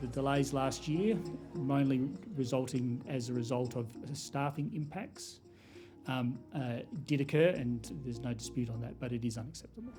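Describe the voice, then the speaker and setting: A middle-aged man with an Australian accent, answering interview questions as a chief executive in a deliberate, formal way. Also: medium pitch, over music. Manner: deliberate, formal, corporate phrasing.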